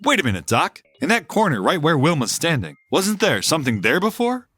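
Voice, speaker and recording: An earnest heroic space captain. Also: male voice, on the high side, huge pitch swings, brisk, a bit bright, husky, no room sound, full character voice.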